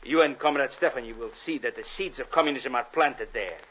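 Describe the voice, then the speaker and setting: A cold, menacing Soviet agent. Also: male voice, mid-range voice, a little fast, a bit bright, husky. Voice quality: clipped, coldly commanding. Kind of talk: 1950s radio drama acting. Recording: dull old radio broadcast with hiss.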